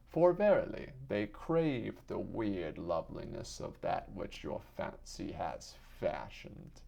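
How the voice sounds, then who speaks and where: A man giving a solemn, deliberate, theatrical reading of literary fiction as an audiobook narrator. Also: on the low side, gravelly, dark tone. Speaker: audiobook reader.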